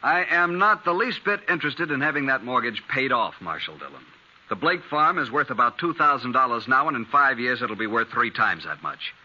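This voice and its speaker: An actor playing a grasping, imperious American land-grabber in a 1950s radio western, curt and haughty. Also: male voice, mid-range voice, sing-song, fairly quick, sharp tone, slight background noise. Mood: cold, smug. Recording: muffled 1950s radio recording.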